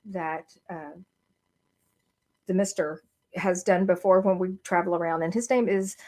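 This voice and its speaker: A woman's voice: lowish voice, a little slow. Chatty woman with a Southern drawl. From American South. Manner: relaxed and chatty, slips into a formal Southern drawl for fun. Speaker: podcast co-host. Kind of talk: casual live-stream chat.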